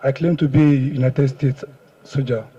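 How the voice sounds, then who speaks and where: A man with a Nigerian accent and a husky voice, giving deferential, guarded answers as a suspect under questioning. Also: mid-range voice, fairly even intonation, normal speed, dark tone. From Ekiti State, Nigeria. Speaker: paraded fraud suspect being questioned. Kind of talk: public confession under questioning. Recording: dull, muffled audio.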